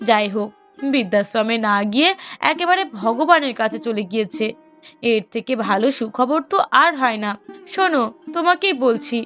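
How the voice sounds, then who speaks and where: A woman as an outspoken, high-voiced young wife. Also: a bit bright. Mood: callous, dismissive. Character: sharp-tongued young wife and mother, proud and outspoken. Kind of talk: Bengali moral-story cartoon voice acting.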